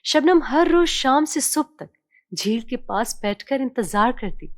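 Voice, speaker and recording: A woman's voice: high voice, expressive, measured pace, dry recording, clean studio sound. A woman narrating a scripted children's story as a storyteller.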